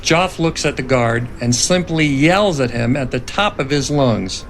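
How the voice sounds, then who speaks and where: Gravelly man narrating a screenplay. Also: expressive, unhurried. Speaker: narrator reading stage directions. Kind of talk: dramatic scripted screenplay narration. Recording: noticeable background rumble.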